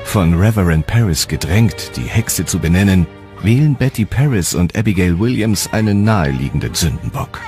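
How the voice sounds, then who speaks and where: A man's voice: low voice, expressive, normal speed, a little mellow. A man reading scripted documentary narration as the narrator. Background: music.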